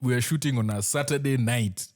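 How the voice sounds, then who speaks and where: A man co-hosting a football podcast, joking and quick with comebacks. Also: mid-range voice, darkish tone. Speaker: podcast co-host. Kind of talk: casual football podcast chat.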